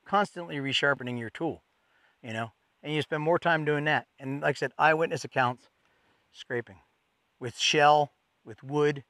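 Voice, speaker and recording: Man, 40s, folksy outdoor museum educator, slightly high voice, dry close mic. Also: normal speed, fairly bright tone, no echo. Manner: folksy, enthusiastic explainer. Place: outdoors in a forest.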